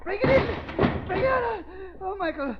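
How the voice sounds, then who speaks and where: A very high, thin and quavering elderly male villain. He is a frail, vengeful professor who stammers and repeats himself in agitation. The sound is a dull 1930s radio drama recording. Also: expressive, measured pace, very noisy. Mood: distraught. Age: older.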